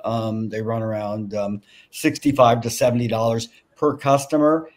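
A man in his sixties speaking on a video call as a farm business founder, pitching and answering investor questions. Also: flat delivery, moderate pace. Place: home room with bookshelves.

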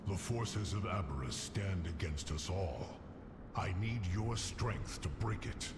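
An actor playing a grave, wise elder dragon leader in a video game, speaking in a solemn, measured way. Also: male voice, sits low, animated, over loud music. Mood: solemn, urgent. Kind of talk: video game character acting.

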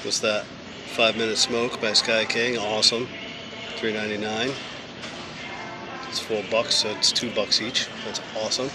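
A man's voice: lowish voice, level tone, a little slow, long pauses, very noisy. A man vlogging as he walks a fireworks store with his son, holding the camera and eagerly reading out product names and prices. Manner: enthusiastic, reads out prices and names. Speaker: vlogger, father. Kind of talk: casual shopping commentary. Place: large indoor fireworks store. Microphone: handheld camera. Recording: store music playing loudly behind.

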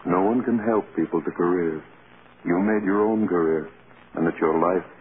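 An actor playing a loyal, protective film studio boss, speaking with earnest concern in 1930s radio style. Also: male voice, low voice, normal speed, lightly acted. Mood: sympathetic. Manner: solicitous, earnest. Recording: scratchy, tinny 1930s radio broadcast.

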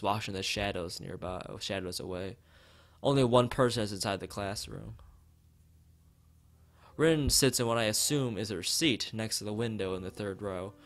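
A man's voice: fairly low pitch, fairly bright tone. A man streaming a visual novel, reading its text aloud with casual asides, often stumbling and repeating phrases. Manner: stumbles over read lines and repeats phrases. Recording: music behind the voice. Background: background music.

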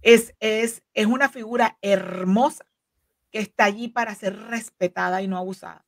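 A woman's voice: mid-range voice, fairly even intonation, moderate pace, no echo, studio quality. Earnest woman explaining on a video call. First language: Spanish. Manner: earnest, many fillers and restarts. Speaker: immigration legal counsel, guest. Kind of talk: explanatory interview talk. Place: home office, indoors. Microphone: desk microphone on video call.

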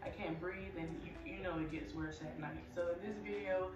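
Young woman vlogging from her bedroom, lowish voice, kinda far from the cam, roomy echo with music under it. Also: fairly even intonation, a little fast, lo-fi sound. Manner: emotional, near tears at times, rambling with many likes. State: congested, stuffy. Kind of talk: personal storytelling vlog. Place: bedroom at home, indoors. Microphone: camera a few feet away. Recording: echoey room, distant mic, music behind.

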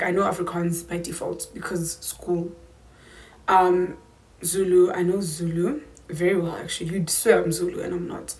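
A twenty-year-old woman with a South African accent, chatting emphatically to camera in a casual Q&A vlog from a small room. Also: on the low side. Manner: chatty, emphatic, slangy asides. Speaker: YouTuber.

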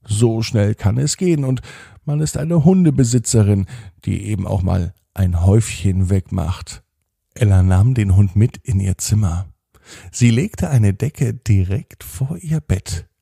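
A man narrating a children's bedtime story in a gentle, unhurried storytelling manner. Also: fairly low pitch, creaky, slightly rough, dry recording, studio quality. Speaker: podcast host and storyteller.

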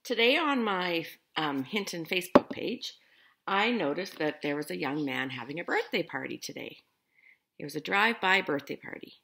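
A woman's voice: lowish voice, lively intonation, normal speed, vocal fry, very noisy. A middle-aged woman reading a picture book aloud and addressing young viewers at home. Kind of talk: children's read-aloud and friendly address to viewers. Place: living room at home.

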